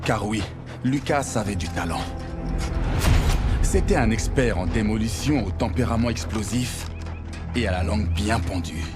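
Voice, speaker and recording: A man playing a stern, wry military narrator, giving scripted dramatic game narration in deliberate, clipped phrases. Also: lowish voice, loud music behind the voice, natural voice. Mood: wry, grave.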